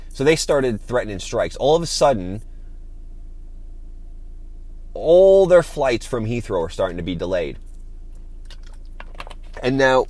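A man's voice: medium pitch, a little mellow. A man hosting a podcast, giving an opinionated, informal monologue with casual swearing. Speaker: podcast host.

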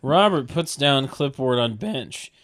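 A man's voice: mid-range voice, animated, slow, a bit bright. A man reads out stage directions for a comedy table read. His mid-range voice moves around a lot. He sounds sardonic, tossing in dry comic asides. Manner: sardonic, mock-announcing.

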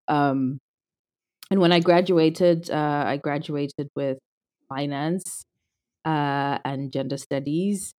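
A woman's voice: deep voice, a little mellow. A young woman from Zimbabwe, a podcast guest speaking thoughtfully and casually, with frequent restarts. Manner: thoughtful, with many restarts and fillers. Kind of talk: casual conversational interview.

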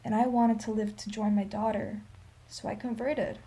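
An actress playing a sorrowful, dignified old Armenian woman in a radio play, telling her story in a solemn, measured, emphatic way. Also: mid-range voice, fairly even intonation, dark tone, close to an everyday voice. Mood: grieving testimony.

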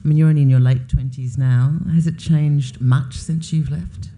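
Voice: mid-range voice, steady tone, moderate pace, dark tone. An interviewer hosting an onstage author talk, asking curious, chatty questions with many fillers.

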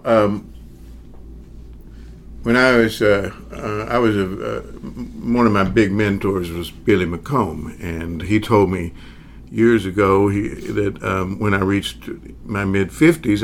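A man in his sixties, a magician, telling his story in an interview at an unhurried pace, pausing often with fillers and chuckling now and then. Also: on the low side, steady tone, a little hiss or hum. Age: 60s. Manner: hesitant, many pauses and fillers; reflective, chuckles as he talks.

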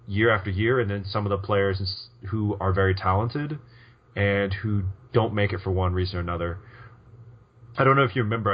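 Low-voiced man rambling on a podcast. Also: dull audio. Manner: rambling, frequent restarts and fillers. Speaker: podcast co-host. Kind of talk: casual podcast chat.

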